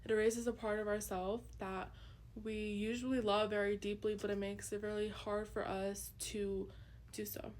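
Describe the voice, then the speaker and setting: A woman's voice: mid-range voice, little pitch movement, moderate pace, a little mellow. A young woman whose first language is Urdu, earnestly presenting a class project with frequent ums. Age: young adult. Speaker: student presenter.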